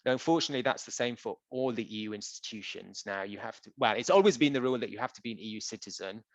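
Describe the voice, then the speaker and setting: Man, translator, Q&A talk, hesitant with fillers, deep-leaning voice, dry dead audio. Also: very fast, no room sound. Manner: relaxed, hesitant with frequent ums and uhs. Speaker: EU institution translator answering audience questions. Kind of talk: conversational Q&A presentation.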